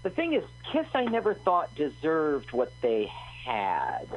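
A man's voice: a little high, huge pitch swings, measured pace, dull audio, slight background noise. A man co-hosting a radio show, telling stories emphatically and giving strong opinions in casual chat. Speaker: radio co-host. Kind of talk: casual chat watching a movie.

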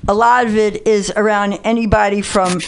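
A woman's voice: medium pitch, fairly even intonation, normal speed, clean tone. A woman from the Bronx in New York hosting an interview, speaking casually and sharing recollections in conversation. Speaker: interview host.

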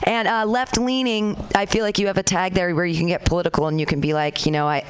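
A woman's voice: fairly low pitch, steady tone, quick pace, clear, resonant tone. A woman hosting a live comedy show on the radio, rambling and joking with lots of fillers. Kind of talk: casual emcee banter.

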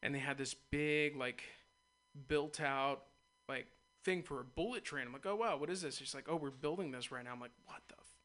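Male radio host, mid pitch, bright tone, loose rambling chat. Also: moderate pace. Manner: loose, rambling, laughs easily. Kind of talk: casual interview chat.

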